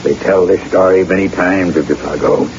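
An actor playing a boastful backwoods guide with a French-Canadian accent, telling yarns theatrically in broken English in an old radio drama. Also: male voice, low-pitched, fairly even intonation, normal speed, a little mellow, lightly acted. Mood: boastful, hearty. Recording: dull, noisy old radio recording.

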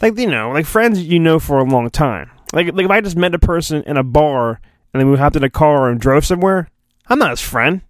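A man's voice: fairly high pitch, lively intonation, brisk, dry recording, studio quality. A man hosting a podcast, reading a storybook in a theatrical, mock-dramatic way and breaking off into rambling, sarcastic asides. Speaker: podcast host.

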